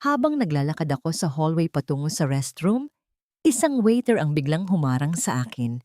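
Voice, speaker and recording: A woman narrates a dramatic first-person story. Her voice sits on the low side for a woman, and the sound is very dry, with no room around it. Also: very animated, moderate pace, a little mellow, no room sound. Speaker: narrator of romantic drama stories.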